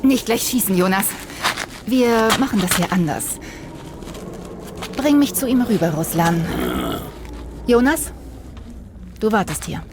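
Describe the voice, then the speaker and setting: An actress plays a young female guerrilla fighter with a mid-pitched voice. She is talkative, bossy and declamatory like a true believer, speaking in a radio play over clear background noise. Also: animated, measured pace, long pauses, natural voice. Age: young adult. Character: Mira, young female urban guerrilla, bossy, fervent, chatty revolutionary. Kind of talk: German sci-fi radio drama dialogue.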